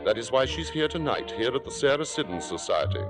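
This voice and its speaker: An actor playing a suave, domineering, coolly cruel theater columnist in an old radio drama, sardonic and articulate. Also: male voice, mid-range voice, very animated, fairly quick, close to an everyday voice. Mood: wry narration. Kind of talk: 1940s-50s radio drama acting and narration. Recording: vintage radio recording, music behind.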